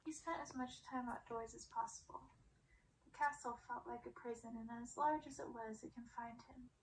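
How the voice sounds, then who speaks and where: A woman reading a story as ASMR in a hushed, deliberate way, often slipping into a soft whisper. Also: a little high, fairly even intonation, a little hiss or hum, poor audio. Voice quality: soft, breathy whisper at times. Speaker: ASMR reader.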